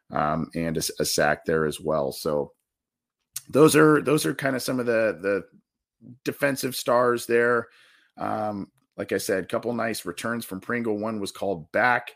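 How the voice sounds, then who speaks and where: A man with a raspy, hoarse voice reads through football stats on a podcast. His voice sits low, but he stumbles and restarts often, then jumps up sharply when a number excites him. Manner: halting restarts, animated jumps in pitch on big numbers. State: hoarse, scratchy throat. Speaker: sports podcast host. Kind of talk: postgame stat breakdown.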